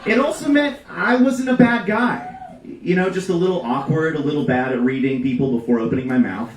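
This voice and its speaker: A man reading a comedic story aloud to a live audience with a deadpan, deliberate delivery. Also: on the high side, slight background noise. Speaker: reader at a live storytelling show. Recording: roomy live venue, distant mic.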